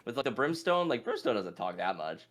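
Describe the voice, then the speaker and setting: A man's voice: mid-range voice, big pitch swings, fairly quick, a bit bright. A man taking part in a quiz, thinking aloud in casual, informal chat as he weighs his answers. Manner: deliberating, informal. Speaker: quiz contestant.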